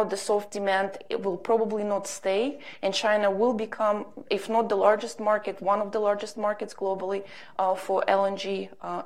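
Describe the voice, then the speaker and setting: A woman's voice: mid-range voice, creaky, a little mellow. Woman on a panel answering questions, lots of ums and restarts, careful. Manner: hesitant, careful, many ums and self-corrections. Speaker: panel speaker on energy markets.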